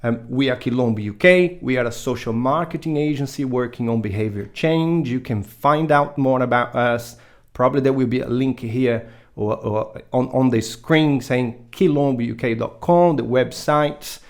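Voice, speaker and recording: A man with an average-pitched voice hosts an interview podcast. He speaks conversationally as he presents the show and talks with his guest. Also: moderate pace. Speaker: podcast host.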